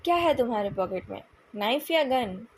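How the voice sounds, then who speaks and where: Woman voicing a calm, coolly taunting young man. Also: a little high, a little hiss or hum. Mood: calm, cutting. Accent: Indian. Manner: calm, measured.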